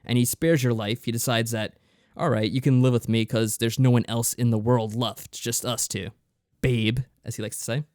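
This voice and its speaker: A man's voice: mid-range voice, fairly even intonation, moderate pace, a little mellow, smooth, no echo, studio quality. A man co-hosting a podcast, explaining things in a casual, matter-of-fact review style. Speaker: podcast co-host reviewing visual novels.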